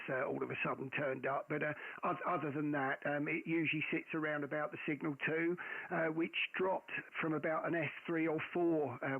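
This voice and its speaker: A man who runs a ham radio stream, chatting casually with a loud voice and lots of fillers and restarts. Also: a little high, gravelly, no echo. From England. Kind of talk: casual radio contact chat. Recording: tinny radio audio, dry.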